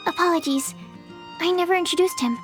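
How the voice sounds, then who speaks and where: Shy young female alchemist in a game, very high voice, timid, bookish, hesitant, music underneath. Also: fairly quick. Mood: earnest, explaining. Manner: timid, hesitant, apologetic, earnest. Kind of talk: animated video game dialogue.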